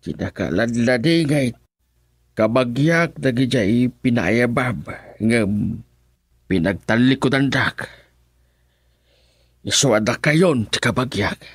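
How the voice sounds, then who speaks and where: A man's voice: medium pitch, animated, slow pace, long pauses, breathy edge, no room sound, studio quality. An actor playing an earnest homeless man seeking his kin in an Ilocano radio drama, with an Ilocano Filipino accent and a confiding manner. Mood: sorrowful pleading.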